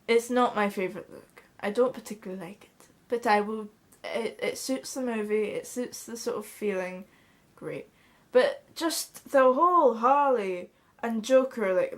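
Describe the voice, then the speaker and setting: Chatty young Scottish woman vlogging. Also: a little high. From Scotland. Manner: chatty, blunt, swears freely. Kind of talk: casual chat to camera. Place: small bedroom indoors. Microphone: camera in front at close range.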